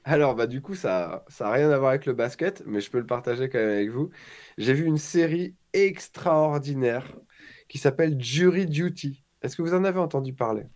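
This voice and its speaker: A man's voice: medium pitch, normal speed. A man in his forties, a guest on a casual podcast chat, telling stories with energy and acting out quotes. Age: 40s. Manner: animated storyteller, acts out quotes.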